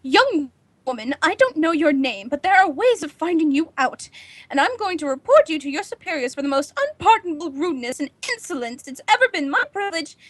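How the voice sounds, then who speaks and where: A panicky snobbish housewife in an old 1940s radio thriller, super high voice, melodramatic, dry sound. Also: female voice, very animated, brisk, no echo. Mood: haughty, outraged. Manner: haughty, melodramatic, agitated. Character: nervous, imperious, panicking housewife alone at night.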